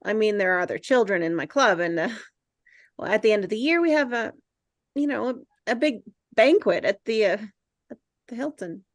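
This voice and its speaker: A woman's voice: medium pitch, lively intonation, normal speed, no echo, studio quality, lightly acted. Woman playing an awkward, hesitant nerdy teenage boy. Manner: hesitant, rambling, stammering. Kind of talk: script table read.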